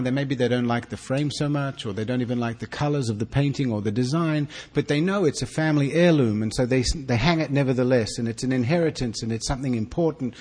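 A man with an Australian accent giving an earnest, halting welcome speech to an evening audience, often restarting his words. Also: mid-range voice, darkish tone, slightly rough. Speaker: consul general giving opening remarks.

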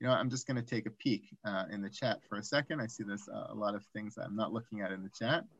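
A man teaching an online Talmud class, raising rhetorical questions and dropping into quiet asides. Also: fairly low pitch, fast, lo-fi sound, a little hiss or hum. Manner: animated, asks rhetorical questions, drops to asides.

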